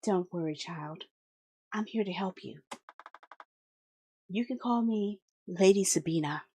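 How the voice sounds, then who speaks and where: A woman voicing a composed noblewoman who speaks softly and soothingly. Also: mid-range voice, measured pace, a little hiss or hum, close to an everyday voice. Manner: soft, smooth, soothing. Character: composed noblewoman, calm and commanding.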